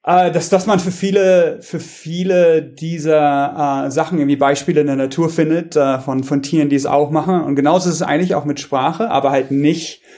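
A man's voice: fairly high pitch, fairly even intonation, fairly quick, hardly any pauses, mellow. A man, a researcher, talking casually as a podcast guest, hesitant, with many fillers and restarts. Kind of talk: casual interview talk.

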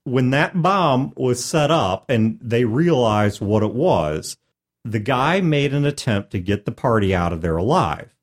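A man talks as a podcast host and game master, casually recounting a tabletop session. His delivery is animated and emphatic, with pitch that moves around a lot as he builds the story. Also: unhurried.

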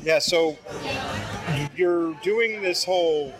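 A man's voice: a little high, slow, crowd noise. A male podcast host with a Western New York accent, asking laid-back, rambling questions at a launch party. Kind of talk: casual interview. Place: launch party at a pizza restaurant.

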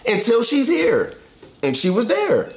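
A man from Florida, raised in Charlotte, telling stories in casual chat as a podcast co-host and getting heated and animated. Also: unhurried, a little hiss or hum. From born in Florida, raised in Charlotte. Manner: animated, storytelling, pitch jumps up when heated.

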